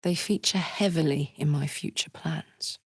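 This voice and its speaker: A woman's voice: deep voice, measured pace, slightly rough, no room sound. Brash tiefling fighter girl from a game, blunt n matey, takes her time, super dry close sound. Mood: playful, affectionate. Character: brash, big-hearted tiefling warrior woman, battle-hardened. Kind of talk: video game character voice lines.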